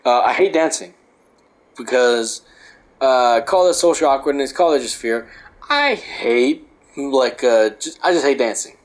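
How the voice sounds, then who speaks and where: Young adult man, mid-range voice going hoarse, reads aloud then rants off-script with plenty of swearing. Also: medium pitch, animated, unhurried. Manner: rambling, profane, ranting. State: losing his voice. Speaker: host. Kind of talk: reading a book aloud with casual commentary.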